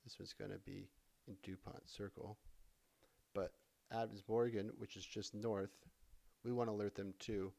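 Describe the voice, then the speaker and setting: Low, creaky-voiced man narrating a tutorial. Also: mellow. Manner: conversational, explaining step by step. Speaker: software tutorial instructor. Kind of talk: casual instructional screencast narration. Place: voice-over for a screen recording.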